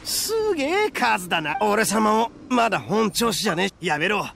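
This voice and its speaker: An actor playing a brash, hot-blooded young oni gang boss in a Japanese video game, with gravelly, rough, boastful speech. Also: male voice, sits high, big pitch swings, normal speed, a bit bright, slight background noise, heavily acted. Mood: tense, determined.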